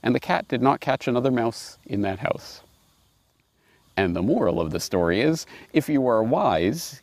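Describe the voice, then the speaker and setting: A middle-aged man presenting and reading aloud in a musing, wry, expressive way, recorded outdoors on a lapel mic. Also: medium pitch, creaky, dry recording. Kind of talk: reflective commentary and storybook reading. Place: outdoors in a grassy park.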